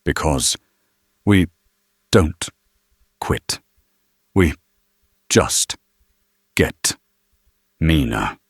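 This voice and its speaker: A man voicing a defiant, battered human maintenance worker in a sci-fi audiobook, growling low and word by word with grim menace. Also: expressive, drawn-out words, pauses often, no room sound, studio quality, full character voice. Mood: grim defiance. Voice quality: gravelly whisper-growl.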